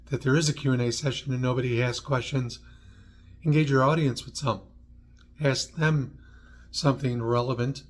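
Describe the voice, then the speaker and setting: A man from New York with an American accent, presenting an instructional talk in a conversational way, with short clipped phrases and dry asides. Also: mid-range voice. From New York, United States. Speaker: presenter of a how-to video series on public speaking.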